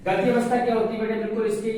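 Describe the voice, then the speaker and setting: A man's voice: high-pitched, flat delivery, rapid-fire, warm tone. A man lecturing as a physics teacher, didactic, repeating phrases and asking check questions. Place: indoor classroom with a board. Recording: very echoey room, distant mic, faint noise.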